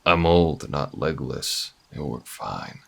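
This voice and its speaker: Guy doing a grim tired old rebel for audio drama, slow husky voice, halting delivery. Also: extremely low pitch, lively intonation, fairly bright tone, heavily acted. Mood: wry. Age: older. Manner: grave, halting, terse. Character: grim, weary old male revolutionary, bitter and proud. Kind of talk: audio roleplay acting.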